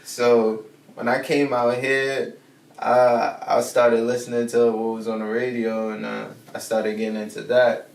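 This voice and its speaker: A laid-back young man from Jamaica talks through his start in music in a low-key, gravelly voice that barely rises or falls. His slang-heavy chat comes from a mic set back in a roomy studio, with faint hiss underneath. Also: level tone, unhurried, recorded from a distance. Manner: laid-back, peppers talk with "feel me" and slang. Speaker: rapper guest. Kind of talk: casual interview chat. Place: studio set with brick backdrop.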